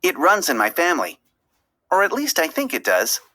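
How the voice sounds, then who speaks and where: A woman's voice: fairly low pitch, wildly swooping pitch, normal speed, sharp tone, heavily acted. A woman voicing a friendly, forgetful female fish in a storybook, chirpy and artless. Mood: breezy.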